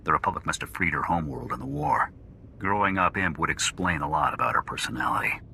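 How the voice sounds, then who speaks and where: A very deep, wry soldier. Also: male voice, big pitch swings, quick pace, vocal fry, bright, forceful tone, a little hiss or hum, heavily acted. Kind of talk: video game character acting.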